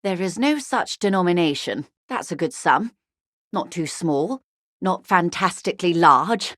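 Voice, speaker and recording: An actress playing a wealthy corporate negotiator in a video game, refined and polished, speaking with urbane, wryly courteous, measured irony. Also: female voice, lowish voice, animated, fairly bright tone, no echo, clean studio sound. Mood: wry, composed. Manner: urbane, measured, dryly ironic. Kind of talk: scripted game dialogue, conversational.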